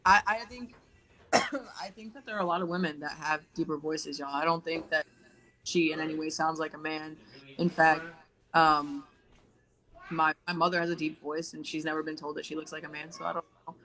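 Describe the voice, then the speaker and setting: Bright-toned man giving his view. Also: on the high side, steady tone, normal speed, low-quality recording. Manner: thoughtful, hedging, with soft laughs. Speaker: co-host. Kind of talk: casual live commentary chat.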